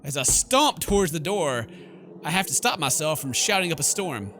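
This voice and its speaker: Young guy doing gameplay commentary, theatrical and jokey reading, bright punchy voice, music behind. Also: fairly high pitch, big pitch swings, normal speed. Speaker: gaming video host. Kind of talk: gameplay commentary, reading story text aloud with asides. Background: game music.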